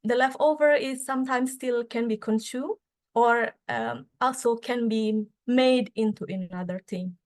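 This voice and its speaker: Woman presenting with hesitant fillers. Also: fairly high pitch. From Indonesia. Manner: halting, many fillers and restarts. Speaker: student association speaker. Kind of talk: conference presentation.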